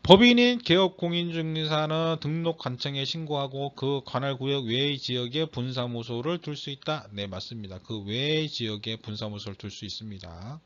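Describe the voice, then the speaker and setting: A man's voice: mid-range voice, normal speed, hardly any pauses, fairly bright tone. Slightly strained male lecturer, muffled recording. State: slightly strained voice from throat trouble. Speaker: exam lecturer teaching real estate broker law. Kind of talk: lecture reading out quiz questions and explaining answers. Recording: dull, muffled lo-fi audio.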